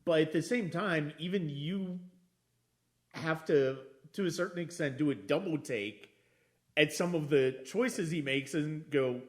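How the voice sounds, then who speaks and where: A man hosting a casual film discussion, halting as he thinks aloud and often restarting his phrases. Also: fairly high pitch. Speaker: podcast host discussing films.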